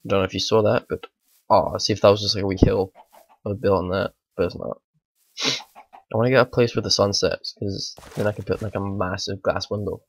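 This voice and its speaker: A man, hoarse from a bad cold, rambling through casual let's play commentary at home. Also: on the low side, steady tone, brisk, no echo, low-quality recording. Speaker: gaming channel host.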